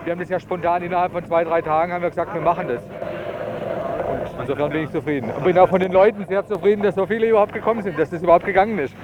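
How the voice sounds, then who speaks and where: A man's voice: high-pitched, rapid-fire. A man who organizes a citizens' initiative, giving a reflective assessment of a protest in an interview. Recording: dull outdoor recording with loud traffic.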